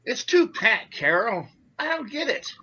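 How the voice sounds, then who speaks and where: A slightly muffled recording of a gangster sidekick in 1940s radio style, played by a man. The voice is high and piercingly bright, jumping around in pitch. He sounds blunt, slangy and a little slow on the uptake, anxious to please. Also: big pitch swings, husky, heavily acted. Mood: uneasy, doubtful. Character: Stuart, male gangster henchman, blunt, gullible, anxious.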